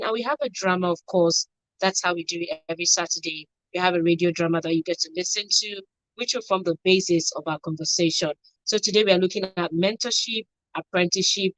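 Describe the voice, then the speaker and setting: A woman's voice: fairly low pitch, a little fast, a bit bright, dry recording, rough recording. A woman with a Nigerian accent hosting a radio show from a studio. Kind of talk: radio presenting.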